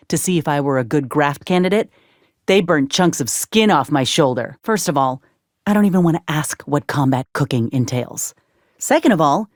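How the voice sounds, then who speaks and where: A weathered soldier gal in a sci-fi game, deep for a woman, plainspoken and wry, no room sound. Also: darkish tone, no echo. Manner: folksy, dry, plainspoken. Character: tough, wry, weathered female soldier companion in space. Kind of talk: video game character dialogue.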